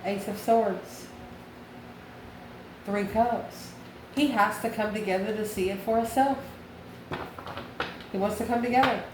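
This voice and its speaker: A woman's voice: mid-range voice, steady tone, unhurried, long pauses. A woman giving a tarot reading straight to her viewers, in a folksy, familiar manner with emphatic repeated phrases. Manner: folksy, familiar, addresses listener as honey and baby. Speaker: tarot reader, channel host. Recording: echoey room with clear background noise.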